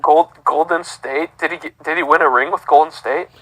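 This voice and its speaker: Bright-voiced man on a dry mic. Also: a little high, steady tone, moderate pace, dry recording. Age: 30s. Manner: easygoing, reads out lists. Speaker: podcast co-host. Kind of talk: casual sports chat, reading stats aloud.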